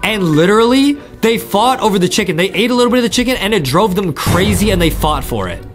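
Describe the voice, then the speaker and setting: A young man hosting a gaming video with excited, repetitive commentary, talking straight to his viewers. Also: sits high, fast. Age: young adult. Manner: animated, repetitive, addressing viewers. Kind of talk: excited let's-play commentary. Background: game music.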